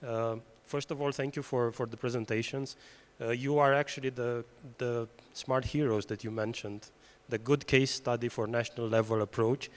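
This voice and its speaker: A man from Romania asks the panel a question in a medium-pitched voice. He is polite and stops to search for words. The sound carries a long reverb from a large hall. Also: steady tone, normal speed. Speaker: audience member asking a question. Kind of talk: conference Q&A question. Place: conference hall.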